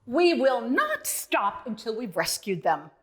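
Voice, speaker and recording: A woman voicing a loyal, expressive male dog adventurer in a children's storybook, dramatic and exclamatory. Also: on the high side, unhurried. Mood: indignant.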